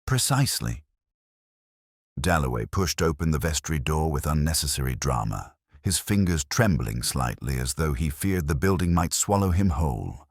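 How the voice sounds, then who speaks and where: Narrator for detective fiction: male, extremely low voice, lively intonation, measured literary delivery. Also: no room sound, clean studio sound. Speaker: narrator, storyteller. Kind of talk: scripted dramatic narration of a detective story.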